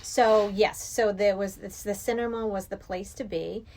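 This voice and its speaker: A woman's voice: mid-range voice, fairly even intonation, moderate pace, darkish tone, a little hiss or hum. A middle-aged woman from Massachusetts, a podcast guest chatting casually and enthusiastically about movies. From Massachusetts, United States.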